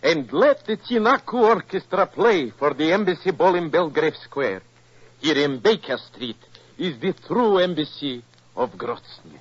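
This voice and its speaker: Florid foreign emissary, swooping delivery. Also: male voice, a little high, animated, unhurried, slightly rough. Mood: grateful, triumphant. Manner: formal, grandiloquent, stilted phrasing. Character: florid, emotional, insistent male foreign political emissary. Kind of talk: 1940s radio acting. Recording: muffled, band-limited old radio recording.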